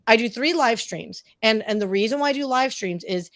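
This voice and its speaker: A woman's voice: a little high, lively intonation, normal speed, fairly bright tone, dry recording, clean studio sound. A woman podcast guest talking casually and effusively, informal and self-deprecating, wandering off on tangents. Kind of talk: casual, chatty interview answers.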